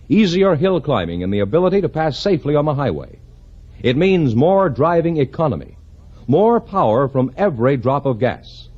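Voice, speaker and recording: An American man reading a 1950s radio commercial as an announcer, with an emphatic, polished sales pitch on an old broadcast. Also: darkish tone, breathy edge, muffled recording.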